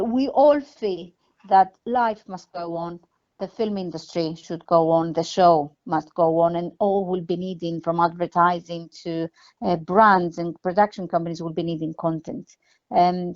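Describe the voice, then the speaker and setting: A woman with a fairly low voice gives earnest, measured interview answers as an executive. The sound is dull and lo-fi, as from a phone call. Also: a little mellow. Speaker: film production company CEO being interviewed.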